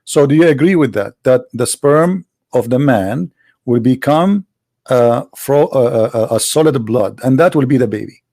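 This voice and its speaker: A man's voice: medium pitch, expressive, moderate pace, dark tone, dry recording, clean studio sound. A man whose first language is Arabic, hosting a heated video-call debate, insistent and repeating himself as he cuts in. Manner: insistent, interrupting, repeats words. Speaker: debate host.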